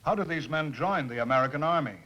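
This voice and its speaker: Bright-voiced 1950s interviewer, man. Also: on the high side, animated, fast. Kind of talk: scripted studio interview. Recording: dull lo-fi 1950s film sound.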